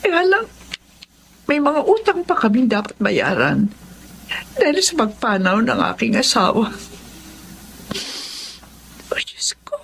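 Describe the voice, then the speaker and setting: A woman with a Filipino accent playing a worried, protective widowed mother in a radio drama, earnest and gentle but firm. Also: a little high, big pitch swings, slow, vocal fry, long pauses, full character voice. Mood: anxious, sorrowful. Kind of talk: Tagalog radio drama acting.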